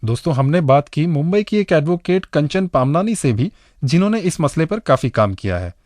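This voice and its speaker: A man's voice: on the high side, very animated, normal speed, darkish tone, no room sound, studio quality. A man with an Indian accent presenting a radio show in scripted narration, addressing the listeners directly.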